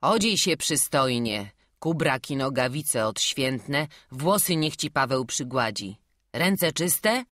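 A woman's voice: a bass voice, expressive, slow, fairly bright tone, dry recording, clean studio sound, heavily acted. A woman voicing an elderly male priest who speaks in curt, commanding orders. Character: elderly male priest, stern but kindly scholar.

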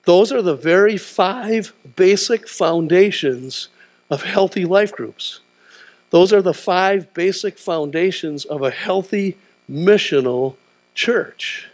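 A man's voice: high-pitched, slow pace. A middle-aged man preaching a sermon in a large church auditorium, prodding the crowd with questions like "huh?" as he paces the stage. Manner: call-and-response preaching, tag questions like "huh?". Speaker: pastor.

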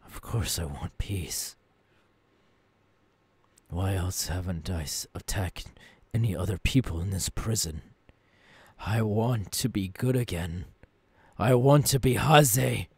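Menacing, tormented ghoul king, ASMR audio drama. Slow, brooding delivery, very breathy, husky, half-whispered. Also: sits low, darkish tone, no room sound, heavily acted. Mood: weary, brooding, then anguished. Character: tormented, menacing young male ghoul turned self-crowned king. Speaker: voice actor. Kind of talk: ASMR audio roleplay monologue.

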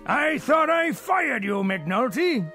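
An actor playing a stern, exasperated American company boss in a radio drama, curt and formal. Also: male voice, very high-pitched, sing-song, slow pace, over music, full character voice. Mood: irritated, stern.

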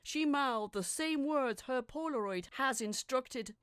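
A woman reading scripted first-person fiction as a dramatic narrator, with a deliberate, suspenseful, theatrical delivery. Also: high-pitched, clear, resonant tone, no echo, studio quality.

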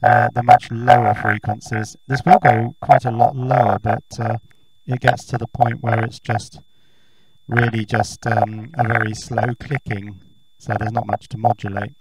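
Robotic vocoded British man, gravelly and buzzing. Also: on the low side, level tone, unhurried. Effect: robotic vocoder, voice modulating buzzing tones and noise. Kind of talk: casual workbench demonstration. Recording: noisy, humming vocoder output.

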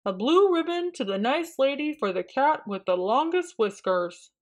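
A woman voicing a calm, kindly pet show judge in a storybook, politely announcing and asking questions. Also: high-pitched, moderate pace, voice pushed up. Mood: friendly, official.

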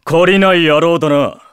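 An actor playing a brusque, impatient demon fighter in an anime game, rough-spoken and contemptuous. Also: male voice, on the high side, fairly bright tone, no room sound, studio quality.

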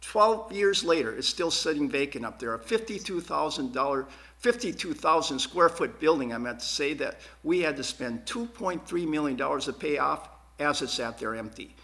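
A man answering questions as a school board candidate at a forum, earnest and emphatic, often repeating words haltingly. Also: on the high side, expressive, pushed and bright. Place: TV studio.

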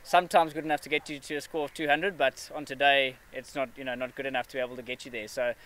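A man in his thirties answering questions after a match, measured and steady, with frequent fillers and restarts. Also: medium pitch. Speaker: cricket player at a press conference. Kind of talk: post-match press interview. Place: outdoor press area with a backdrop.

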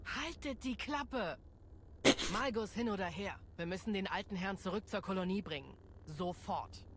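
A woman's voice: mid-range voice, lively intonation, moderate pace, close to an everyday voice. An actress playing a blunt, stern, impatient female fighter in a game, with a curt commanding manner. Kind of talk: dramatic game voice acting. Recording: clearly noisy ambient background.

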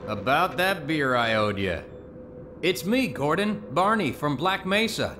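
A friendly undercover guard in a video game. He talks informally and wryly in a lively, slightly high voice, with clear ambient noise behind. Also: unhurried. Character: friendly, wry undercover male security guard. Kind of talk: casual video game dialogue.

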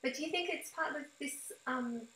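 A woman's voice: high-pitched, normal speed, a bit bright, a little hiss or hum. A woman in her fifties interviewing a guest in a conversational style, recorded indoors at a desk. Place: small room at home, indoors. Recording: roomy, echoing home recording.

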